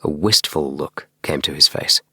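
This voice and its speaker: A man's voice: a bass voice, moderate pace, sharp tone, husky, dry recording. A man reading a scripted bedtime story as an audiobook narrator, close on the mic. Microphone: close mic in small dry home recording booth.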